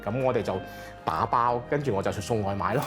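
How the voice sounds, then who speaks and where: A man with a Macau Cantonese accent, talking in a halting interview with many restarts. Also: on the low side. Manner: halting, self-correcting. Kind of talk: casual interview. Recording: loud music underneath.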